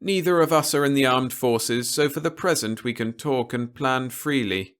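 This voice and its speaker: A man voicing a stern, articulate male official in an audiobook, with emphatic, deliberate, formal phrasing. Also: mid-range voice, fairly bright tone, voice pushed up, natural voice. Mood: stern, warning. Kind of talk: audiobook character dialogue.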